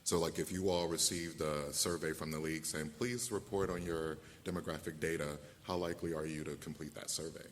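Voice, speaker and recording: A man's voice: very deep voice, fairly even intonation, fairly quick, few pauses, slight background noise. A man on a panel, talking casually with hedges and fillers. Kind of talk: panel discussion. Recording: long hall reverb.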